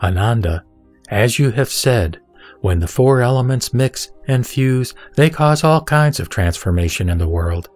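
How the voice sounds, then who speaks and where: A man voicing a serene, authoritative holy teacher in a scripture audio drama, solemn, didactic and measured. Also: mid-range voice, expressive, a little mellow, soft background music, lightly acted. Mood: solemn teaching.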